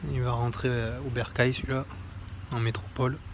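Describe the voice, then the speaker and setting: A man's voice: medium pitch, little pitch movement, fairly quick, background noise. A man presenting a history book in an informal, hesitant commentary, with many fillers and self-corrections. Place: home near an airport.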